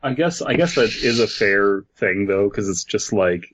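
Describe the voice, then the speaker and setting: A man's voice: lowish voice, animated, moderate pace, a bit bright, lo-fi sound, slight background noise. A man co-hosting a comedy podcast, chatting casually and reading a story aloud, sounding tired and stumbling over his words. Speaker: podcast co-host.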